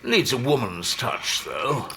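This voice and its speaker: Very animated, droll police chief. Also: male voice, medium pitch, takes it slowly, background noise. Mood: teasing. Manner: droll, urbane, teasing. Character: genial, needling male police department chief. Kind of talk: full-cast audio drama acting.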